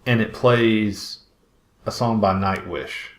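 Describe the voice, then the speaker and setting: A man's voice: on the low side, fairly even intonation, slow. Rambling man in an echoey room. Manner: rambling, uses 'like' often. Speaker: podcast co-host. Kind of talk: casual conversation about films. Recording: roomy, echoing voice pickup.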